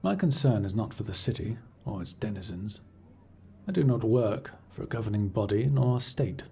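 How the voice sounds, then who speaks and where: A man playing a cool, imperious scholar-agent in a fantasy audio roleplay, speaking in a formal, measured, dryly condescending way. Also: fairly low pitch, lively intonation, gravelly, dark tone, slight background noise. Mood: detached, solemn. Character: cool, imperious male scholar-agent serving an arcane archivist patron. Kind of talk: scripted audio roleplay acting, addressing the listener.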